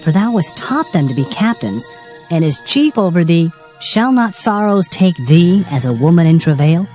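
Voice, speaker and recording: A woman's voice: lowish voice, big pitch swings, moderate pace, warm tone, faint music behind. A woman narrating dramatized scripture in a solemn, declamatory manner. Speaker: Bible narrator.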